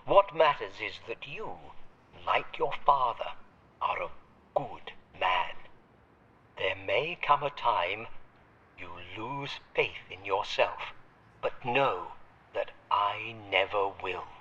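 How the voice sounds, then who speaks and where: A man's voice: lowish voice, expressive, pushed and bright, highs cut off, full character voice. An actor playing a devoted, fatherly old butler in an audio drama, formally polite and sentimental, heard through a radio filter. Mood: tender, earnest. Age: older. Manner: formal, measured, deferential yet tender.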